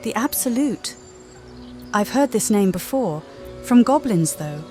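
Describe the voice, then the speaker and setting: Woman as a measured, mid-pitched healer heroine. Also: very animated, dark tone, faint music behind. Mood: inquiring. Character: calm, direct female healer adventurer. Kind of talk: amateur game character voice-over.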